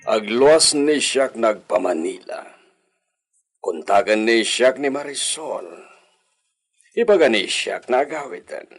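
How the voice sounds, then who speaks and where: A man acting as an ageing Filipino hacienda master in a radio drama, grave and deliberate as he speaks. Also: mid-range voice, animated, close to an everyday voice. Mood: commanding, wistful.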